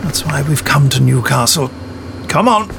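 An actor playing a haughty, dry British spymaster in an audio drama, formal, droll and condescending. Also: male voice, mid-range voice, lively intonation, normal speed, gravelly, noisy background. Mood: lofty.

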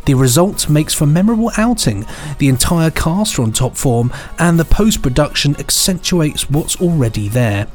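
A man's voice: on the high side, expressive, moderate pace, darkish tone, soft background music. A man co-hosting a podcast, reading out scripted reviews with some light banter. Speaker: podcast co-host.

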